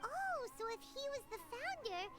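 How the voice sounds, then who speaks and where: A woman voicing a small, excitable, childlike fairy girl companion, curious and chirpy, in an anime-style video game. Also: very high-pitched, moderate pace, over music.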